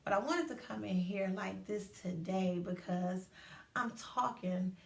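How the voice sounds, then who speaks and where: Woman in her late thirties, animated casual host, echoey room, a bit off-mic. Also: medium pitch, unhurried, creaky. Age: 30s. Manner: animated, chatty, acts out inner voices. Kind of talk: casual livestream talk. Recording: roomy echo, distant from mic.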